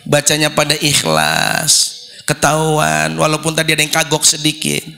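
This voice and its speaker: A man, hoarse after losing his voice, preaching a comic sermon and calling out questions to the congregation. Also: fairly high pitch, normal speed. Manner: joking, lively, calls out questions to the crowd. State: hoarse, recovering from lost voice. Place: religious gathering.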